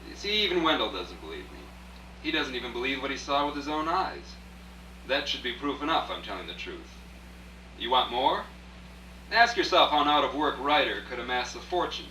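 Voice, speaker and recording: In an echoey room, an actor plays a wry male writer telling his tale in a radio play. The voice is sharp and very forceful, confiding yet theatrical, and sits a little far from the mic. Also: mid-range voice, big pitch swings, fairly quick. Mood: confiding, challenging. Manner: confiding, rhetorical, addressing the listener directly. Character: wry out-of-work male writer turned rich storyteller. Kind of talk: live radio play, first-person dramatic narration. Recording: echoey distant live rehearsal, faint hum.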